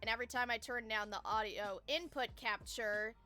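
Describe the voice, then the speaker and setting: Girl streamer chatting to her viewers, real bright voice, says y'all, music playing behind. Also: on the high side, moderate pace. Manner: informal, addresses viewers as y'all. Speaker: live game streamer. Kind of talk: casual livestream chat to viewers.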